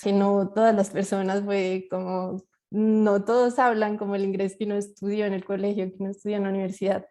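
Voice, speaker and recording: Mellow-voiced young woman, level delivery. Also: mid-range voice. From Colombia. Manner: explanatory, with many fillers and tag questions. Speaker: panel guest, master's student. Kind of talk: casual panel talk.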